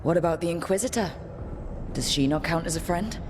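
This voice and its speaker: A woman playing a composed, direct fantasy team leader in video game dialogue, plain-spoken, calm and inquisitive. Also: fairly low pitch, brisk, close to an everyday voice. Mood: sincere, curious. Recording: clear background noise under the voice.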